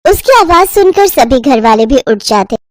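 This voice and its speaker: A woman with a high voice narrates a cartoon moral story. She reads from a script, and the recording is dry and close. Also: sing-song, normal speed, dry recording.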